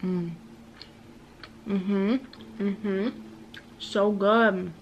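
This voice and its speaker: A woman's voice: medium pitch, extremely slow, lo-fi sound, slight background noise. A young woman, a college student, chatting casually to her phone camera as she vlogs her day at home and at work. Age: young adult. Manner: chatty, relaxed, trails off softly at times. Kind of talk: casual day-in-the-life vlog. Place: small apartment and office, indoors. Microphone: phone camera held close.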